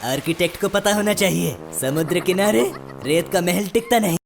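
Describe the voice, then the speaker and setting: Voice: medium pitch, moderate pace, gravelly, music in the background, lightly acted. A performer voicing a wisecracking cartoon sidekick who teases and comments in punning, filmi one-liners. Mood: mocking. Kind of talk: Hindi comedy cartoon voice acting.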